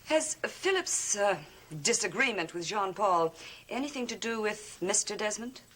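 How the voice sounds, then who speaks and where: An actress playing a poised, secretive woman who probes with smooth, coaxing concern, in theatrical 1970s gothic television soap style. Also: mid-range voice, animated, measured pace, fairly bright tone. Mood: guarded curiosity.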